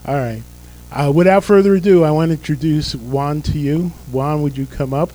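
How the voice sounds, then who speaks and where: A man's voice: fairly high pitch, normal speed, warm tone, low-quality recording. An older man, a pastor, losing his voice, leading a church service with prayer and folksy, joking announcements. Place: church sanctuary.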